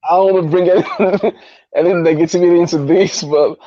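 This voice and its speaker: A man's voice: a little high, steady tone, a little fast, highs cut off, crowd noise. A man calling in to a discussion, speaking hesitantly with many "like"s and trailing starts. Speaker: guest caller. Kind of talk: casual call discussion.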